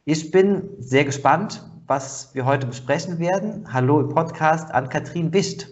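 A man's voice: on the high side, unhurried, dull audio. A man hosting a podcast, asking chatty conversational questions with fillers. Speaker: podcast host. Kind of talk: podcast interview.

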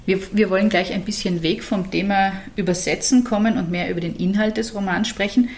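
Calm woman podcast co-host. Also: mid-range voice, fairly quick, slight background noise. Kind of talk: casual interview.

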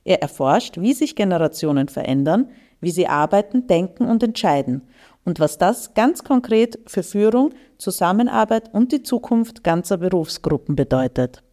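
A woman's voice: mid-range voice, expressive, moderate pace, warm tone. A woman hosting a professional podcast, with a polished presentation of the event and her guest. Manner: polished, read-aloud. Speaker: podcast host. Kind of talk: scripted introduction and interview questions.

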